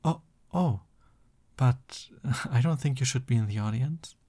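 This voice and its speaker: A man playing a soft-voiced, lovestruck villain boyfriend in an intimate ASMR roleplay, bashful and tender as he talks. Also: mid-range voice, a little slow. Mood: playful, affectionate. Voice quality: soft, breathy. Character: lovestruck, flustered supervillain boyfriend, soft and doting.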